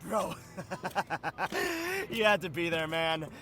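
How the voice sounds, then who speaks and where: An actor playing a boisterous, obnoxious young bro with loud slangy delivery in an audio drama. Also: male voice, a little high, big pitch swings, extremely slow, exaggerated voice. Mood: amused, bragging. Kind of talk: audio drama dude chatter.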